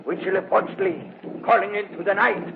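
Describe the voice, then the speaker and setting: A male actor playing a sinister, gloating Latin American guide and high priest, mock-courteous and menacing, in old radio drama style. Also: normal speed, vocal fry. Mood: ominous. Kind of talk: 1950s radio drama acting. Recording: noisy, muffled old radio recording.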